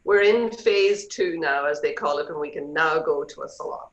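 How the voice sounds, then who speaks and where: A woman's voice: low-pitched, moderate pace. A middle-aged woman with an Irish accent, a real estate agent talking chattily and loosely as a guest on a video call. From Ireland. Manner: chatty, relaxed, hesitant with fillers. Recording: roomy, dull video call audio.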